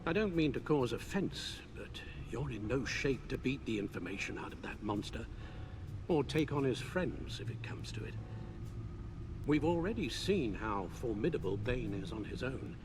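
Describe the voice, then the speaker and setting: An actor playing an elderly British butler and guardian in a video game, anxious and devoted, with formal, careful, emotive phrasing. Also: male voice, medium pitch, lively intonation, normal speed, background noise, close to an everyday voice. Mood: alarmed, admonishing. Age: older. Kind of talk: cinematic game voice acting. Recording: music bed under dialogue.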